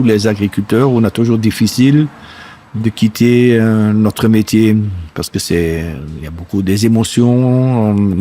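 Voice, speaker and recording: A man with a German-speaking Belgian accent, hosting a podcast interview. He talks earnestly, searching for words with halting phrasing. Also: fairly low pitch, dark tone. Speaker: podcast host, farmer. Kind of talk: casual interview chat.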